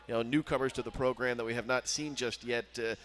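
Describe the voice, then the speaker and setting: A middle-aged man, a sports broadcaster, asking postgame questions on a headset mic at courtside, measured and full of uhs. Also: mid-range voice, fairly even intonation, fairly bright tone. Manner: measured, filler-heavy questions with many uhs. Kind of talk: postgame interview. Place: courtside in an indoor arena.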